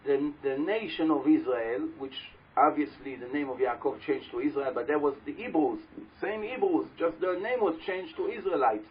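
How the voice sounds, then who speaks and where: A man with a loud voice giving a religious talk to a live audience, telling a story and putting questions to the listeners. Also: fairly high pitch, normal speed, few pauses, breathy edge. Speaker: religious teacher speaking to an audience. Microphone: handheld or stand microphone. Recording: dull lo-fi live recording.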